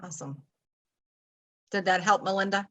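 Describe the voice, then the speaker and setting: A woman's voice: mid-range voice, normal speed, fairly bright tone. A woman co-hosting a live online session, reading out audience questions with upbeat, brief interjections. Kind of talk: casual live webinar hosting.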